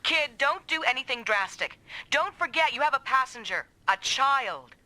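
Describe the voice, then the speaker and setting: A brisk, protective woman soldier in an anime game dub. Her voice is fairly high and bright, with expressive intonation and an assured air. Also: dry recording, full character voice, rough recording. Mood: firm. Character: confident, protective female squad mate, adult soldier. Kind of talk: anime-style video game dub acting.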